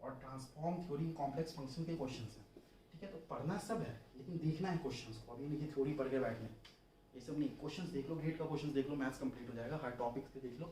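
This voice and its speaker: A man's voice: mid-range voice, dark tone. A young man giving an informal exam strategy lesson, instructive and colloquial, repeating points for emphasis. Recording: roomy, distant mic, faint noise.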